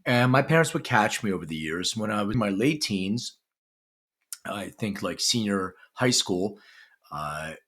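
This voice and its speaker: A man with a low voice tells his own life story as a podcast guest. He grew up in Philadelphia after coming over from Lithuania as a child. He speaks in a relaxed, conversational way. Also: unhurried. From Lithuania, raised in Philadelphia. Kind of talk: storytelling interview.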